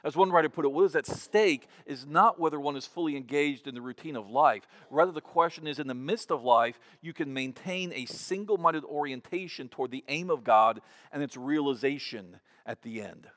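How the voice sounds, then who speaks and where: A man's voice: fairly high pitch, moderate pace, hardly any pauses, a bit bright. A man in his fifties delivers a sermon in a conversational preaching style. He often restarts his phrases by repeating words before he carries on. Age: 50s. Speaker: pastor.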